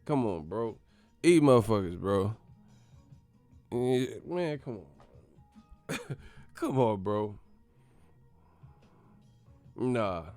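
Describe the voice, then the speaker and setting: A man's voice: lowish voice, very slow, long pauses, faint music behind. Profane, laughing male vlogger ranting. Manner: slangy, profane, laughing, animated mockery. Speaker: boxing channel host. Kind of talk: profane comedic vlog rant.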